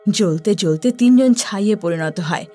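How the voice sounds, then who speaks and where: A woman narrating a scripted horror story in a dramatic, expressive way. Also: medium pitch, normal speed, soft background music.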